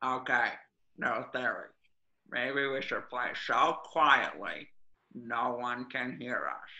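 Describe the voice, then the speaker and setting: Man as exasperated cartoon squid bandleader, whiny, melodramatic, forceful piercing tone, roomy recording. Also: mid-range voice, slow, lo-fi sound, exaggerated voice. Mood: deflated. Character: gloomy, exasperated male squid marching-band leader, easily defeated. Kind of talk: cartoon table read.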